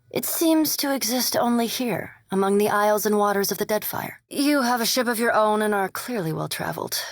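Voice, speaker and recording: A reserved vampire scholar speaks in a medium-pitched woman's voice, choosing words with formal precision. The game dialogue is measured and coolly polite, recorded close and dry with no room sound. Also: no room sound. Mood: restrained, formal. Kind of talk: video game character dialogue.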